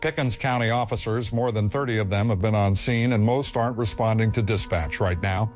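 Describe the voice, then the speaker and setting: A man's voice: fairly low pitch, brisk, darkish tone, lightly acted. Man playing a grave news anchor over music. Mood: grave, urgent. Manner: serious, formal. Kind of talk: scripted breaking-news broadcast in horror audio drama.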